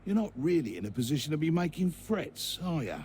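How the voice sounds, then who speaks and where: An actor playing a menacing ruffian captor in a video game, with a mocking drawl. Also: male voice, on the high side, big pitch swings, a little fast, warm tone. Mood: gloating. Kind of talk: video game cutscene acting. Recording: faint background ambient noise.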